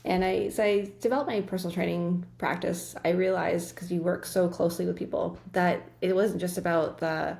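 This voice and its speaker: Gravelly low-voiced woman guest. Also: steady tone, darkish tone. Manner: quick, earnest, many restarts. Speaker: guest, nutrition practitioner. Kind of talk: casual interview chat.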